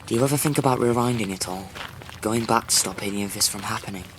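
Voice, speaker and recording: A man's voice: lowish voice, fast talker, noisy background, close to an everyday voice. A man acting as a dutiful, self-doubting hero in a fantasy audio drama, earnest and hesitant. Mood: troubled.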